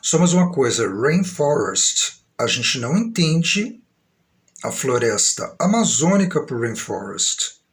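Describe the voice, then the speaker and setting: A man's voice: mid-range voice, big pitch swings, slow pace. A middle-aged man from Brazil with a São Paulo accent, talking in a grandiose, aggrieved monologue that meanders at an uneven pace. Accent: São Paulo Brazilian Portuguese. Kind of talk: rambling monologue to camera.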